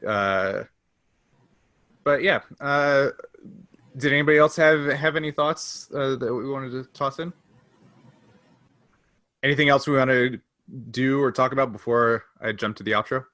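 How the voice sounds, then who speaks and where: A man hosts a relaxed podcast in a bright, crisp-edged voice. He explains in a loose, hedging way, and the sound is dry, with hardly any room on it. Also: mid-range voice, measured pace, pauses often, no echo. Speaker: podcast host. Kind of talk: casual podcast discussion.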